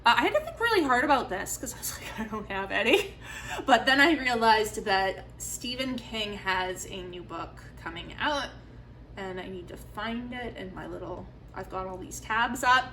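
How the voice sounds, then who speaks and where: A woman's voice: on the high side, lively intonation, moderate pace, sharp tone, a little hiss or hum, rough recording. A young adult woman chatting casually as a book vlogger, with an emphatic, opinionated and informal way of talking. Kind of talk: casual chat to camera, book review.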